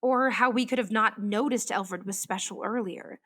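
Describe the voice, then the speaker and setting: A woman's voice: medium pitch, normal speed, bright, forceful tone. An actress playing a reflective female meteorologist who chases storms, thoughtfully confiding in a hesitant, wondering monologue for a radio play. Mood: wistful, puzzled. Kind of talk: confessional monologue in an audio drama.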